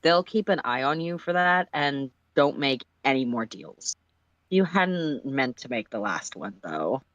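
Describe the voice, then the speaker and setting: A person reading a story aloud on a livestream in an animated way, sometimes stumbling and slipping into casual asides. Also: mid-range voice, measured pace, no echo, lo-fi sound.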